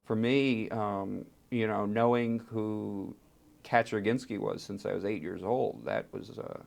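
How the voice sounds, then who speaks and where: Man, low register with heavy creak, oral history interviewee, storytelling with emphatic pauses. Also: fairly even intonation, darkish tone. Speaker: interviewee, archive fellow and translator.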